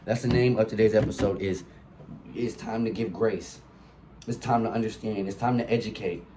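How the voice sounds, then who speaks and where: A man's voice: lowish voice, steady tone, moderate pace, noisy background. A young man with a cold, sniffling as he talks, preaching passionately and profanely into a phone mic in a room with colored lights. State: sick and sniffling. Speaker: podcast host. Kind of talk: solo podcast monologue.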